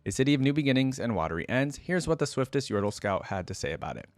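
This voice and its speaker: A man with an average-pitched voice hosts a casual reaction video. He reads passages aloud and adds informal commentary of his own. The sound is dry, with very little room in it. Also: sing-song, fast, no echo.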